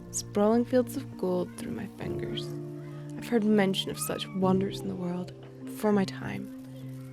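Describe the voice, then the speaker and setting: A woman's voice: mid-range voice, gravelly. An actress playing a wistful, hopeful girl of a post-apocalyptic world in a poetic audio drama monologue.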